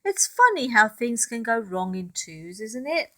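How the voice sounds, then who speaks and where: A woman's voice: fairly high pitch, very animated, a little slow, sharp tone, full character voice. A woman voicing a kind, reassuring school lunchtime lady from a storybook, soothing and affectionate. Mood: comforting.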